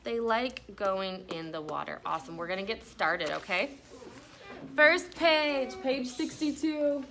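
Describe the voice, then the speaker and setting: Bright, animated woman teaching a class. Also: mid-range voice, unhurried, vocal fry. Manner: asks questions, echoes pupils' answers. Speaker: elementary school teacher. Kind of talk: classroom lesson and story read-aloud. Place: indoor classroom with pupils. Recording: faint room noise.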